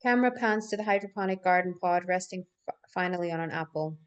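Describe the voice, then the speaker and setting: A woman's voice: lowish voice, quick pace, clean tone. A woman narrating screenplay directions in a live table read, occasionally stumbling and repeating words as she reads. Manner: stumbles and repeats words while reading aloud.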